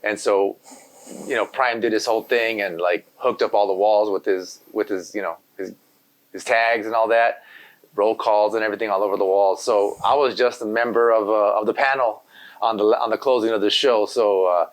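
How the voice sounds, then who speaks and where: A man's voice: on the low side, steady tone, normal speed, poor audio. Laid-back man, native Spanish speaker. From Los Angeles. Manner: laid-back, rambling, full of "you know". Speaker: graffiti artist guest. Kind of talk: casual interview chat.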